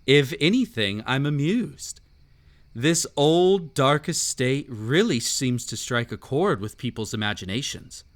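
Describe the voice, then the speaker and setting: A man playing the whimsical, mysterious and sinister host of a haunted estate in a scripted audio drama, teasing and theatrical with drawn-out phrasing. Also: medium pitch, lively intonation, lightly acted. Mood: playful, amused.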